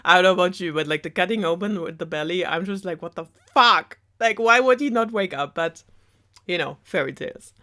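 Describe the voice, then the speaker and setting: Female storyteller, slightly low voice. Chatty, sarcastic, loose and rambling delivery, prone to giggles. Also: brisk, fairly bright tone. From Germany. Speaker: storyteller host of a web series. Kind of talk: casual sarcastic fairy tale retelling.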